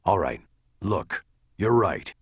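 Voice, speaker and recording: A man voicing a gruff, angry, confrontational police detective in an audiobook crime novel. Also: low-pitched, very animated, takes it slowly, no room sound, clean studio sound, full character voice. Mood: tense, insistent. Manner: barking, confrontational, insistent.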